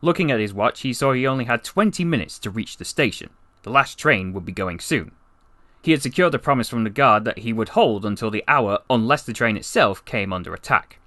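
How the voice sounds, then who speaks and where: A man reading a story as a voice actor, in a solemn, dramatic and deliberate narrating style. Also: medium pitch, expressive, bright, forceful tone, dry recording.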